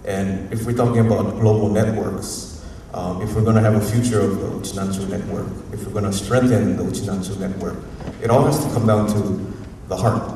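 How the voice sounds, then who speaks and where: A university professor from Hawaii with a Hawaiian accent, giving a rambling, informal, unscripted talk at a community forum and often chuckling. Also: male voice, fairly low pitch, fairly even intonation, a little fast, gravelly, few pauses, warm tone. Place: museum auditorium. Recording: echoey hall, distant mic, faint noise.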